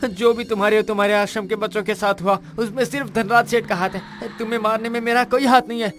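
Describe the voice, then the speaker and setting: A man's voice: high voice, steady tone, quick pace, fairly bright tone. An actor playing a terrified, guilty man who stammers and pleads for his life in a horror drama. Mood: terrified, pleading. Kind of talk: Hindi horror audio drama acting.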